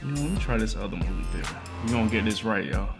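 A man's voice: lowish voice, animated, racing. Young American man with music behind. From Atlanta, United States. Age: young adult. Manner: slangy. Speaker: host making prank calls. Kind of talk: casual vlog chat and prank calling. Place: living room at home.

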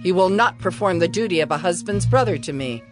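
An actress playing an aggrieved widow making a formal public complaint to town elders in a scripture drama. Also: female voice, lowish voice, lively intonation, a little fast, over music, natural voice.

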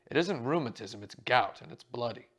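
A man voicing a coarse, domineering older male theater magnate who talks bluntly in a rumbling voice. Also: lively intonation, normal speed, a bit bright. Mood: gruff.

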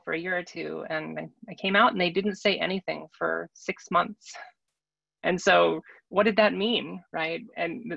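Creaky, bright-voiced panelist. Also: medium pitch, no echo. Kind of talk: reflective panel discussion. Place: indoor room, video call. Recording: dull video call audio.

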